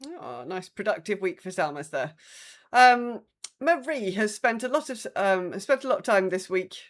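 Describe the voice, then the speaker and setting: A woman's voice: medium pitch, big pitch swings, normal speed. An English woman co-hosting a podcast in casual chat, hesitant and wry, often correcting herself. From England. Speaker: podcast co-host. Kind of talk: casual chat, reading listener updates.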